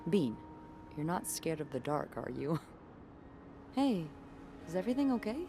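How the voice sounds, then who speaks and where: Playful, animated young hotel worker. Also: female voice, deep voice, a little slow, full character voice. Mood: breezy, concerned. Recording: clearly noisy background ambience.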